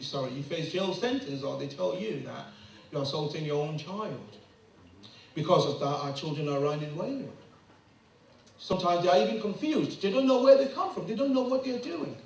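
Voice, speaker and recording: A middle-aged man from Ghana, giving an impassioned public address full of rhetorical questions that rise to emphatic peaks. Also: a little high. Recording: very echoey room, mic from a distance.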